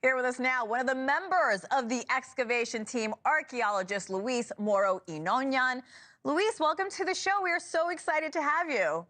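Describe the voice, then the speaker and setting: A woman's voice: sits high, animated, normal speed, a bit bright. A woman hosting a TV interview show, introducing a guest and asking questions in a polished, upbeat presenter style. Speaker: TV show host.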